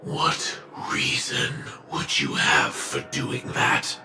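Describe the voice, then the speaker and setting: An actor playing a grim, fatalistic armored warrior in a video game, speaking in solemn, cryptic declarations. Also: male voice, medium pitch, huge pitch swings, slow pace, full character voice. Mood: guarded. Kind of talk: dramatic video game voice acting. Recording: echoey voice over background music. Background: music.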